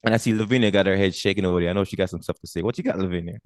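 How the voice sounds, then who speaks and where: A middle-aged man speaks quickly and with emphasis, his low voice rolling from point to point. He repeats words as he gathers his thoughts. The sound is dry and close. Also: no echo. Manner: energetic, emphatic, repeats himself while thinking. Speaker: guest, retired army veteran and coauthor. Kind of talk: casual podcast conversation.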